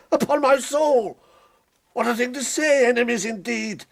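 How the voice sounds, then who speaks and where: An actor playing a frightened, blustering middle-aged English gentleman in a period radio drama, agitated, evasive and prone to stammering. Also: extremely high pitch, unhurried, gravelly, heavily acted. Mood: indignant.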